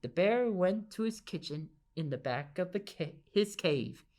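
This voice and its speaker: A woman's voice: on the low side, animated, measured pace, darkish tone. A woman narrating a children's storybook aloud in an enthusiastic, emphatic way. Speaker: storybook reader.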